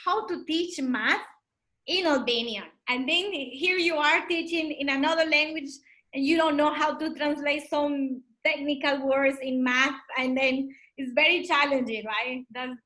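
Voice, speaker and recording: High-pitched woman interviewing casually. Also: a little slow, bright, forceful tone. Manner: rephrases and recaps answers, encouraging. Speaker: interviewer, host.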